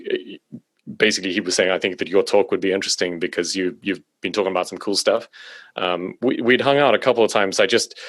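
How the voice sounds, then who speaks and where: A man from South Africa, a podcast guest, chatting in a relaxed way with many fillers and restarts. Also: low-pitched, dry recording. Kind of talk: casual conversation. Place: home in Stockholm.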